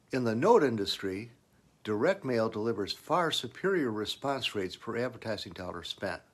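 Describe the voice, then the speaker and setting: A man's voice: medium pitch, fairly bright tone. A man presenting business advice in a semi-scripted, instructional explainer style, putting emphasis on key points.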